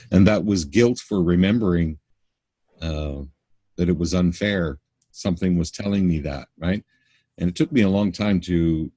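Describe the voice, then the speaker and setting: Man from Canada, very low voice, dry close sound, earnest and halting, middle-aged. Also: warm tone, dry recording. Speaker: spiritual workshop teacher. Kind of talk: casual interview answers.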